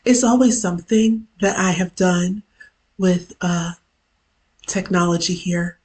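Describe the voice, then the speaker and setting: A woman with a hoarse, worn-out voice, casually chatting as host of her own live stream during a work lunch break, with chatty self-deprecating asides. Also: on the low side, slow pace, darkish tone, low-quality recording. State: hoarse, lost voice from illness. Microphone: camera mic.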